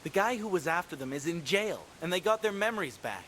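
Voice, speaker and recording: A man's voice: sits high, animated, brisk, fairly bright tone, slight background noise. An actor playing a sullen, withdrawn, brusque young man in an English anime dub. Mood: dejected.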